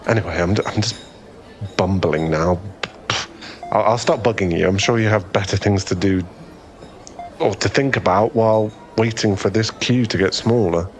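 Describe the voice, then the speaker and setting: A man acting as a friendly young guy with a fairly low voice, chatting in an ASMR boyfriend roleplay. He is easily flustered, rambling and self-effacing in a soft-hearted way. Some ambient noise sits behind him. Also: normal speed, natural voice. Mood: bashful, flustered.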